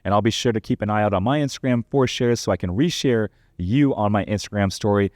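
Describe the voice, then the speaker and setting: Brief: male podcast host, lowish pitch, dry close-mic sound, personal listener appeal. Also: quick pace, darkish tone, no room sound.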